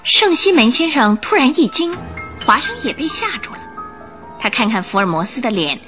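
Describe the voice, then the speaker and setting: Expressive woman narrating a radio drama. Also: a little high, moderate pace, music in the background.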